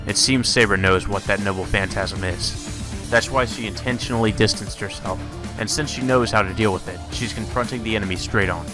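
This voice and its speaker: A man's voice: on the low side, fairly quick. A man narrating a visual novel aloud in a dramatic read-aloud style, as a let's play host. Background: music.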